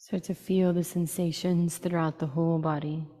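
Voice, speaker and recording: A woman with a low-pitched voice leads a guided meditation, soft-edged and mellow in tone. She speaks in short, unhurried phrases and leaves long pauses between them. A long reverb trails behind her words. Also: flat delivery. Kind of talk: slow, soothing guided meditation.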